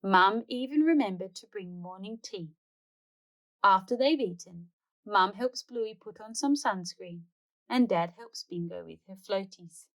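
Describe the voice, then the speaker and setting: A woman's voice: medium pitch, animated, a little slow, poor audio. A woman hosting a read-aloud, narrating a children's storybook expressively.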